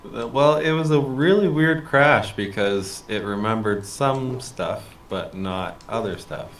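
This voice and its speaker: A man's voice: medium pitch, slow, echoey room, slight background noise. A man co-hosting a casual gaming livestream, cracking dry teasing jokes and laughing a lot. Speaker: streamer co-host.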